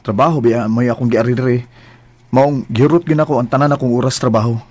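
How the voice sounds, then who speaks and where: A man's voice: medium pitch, steady tone, brisk, a little mellow, no room sound, natural voice. A man playing an earnest, apologetic son. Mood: warm. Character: young working man, devoted son. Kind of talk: amateur radio drama acting.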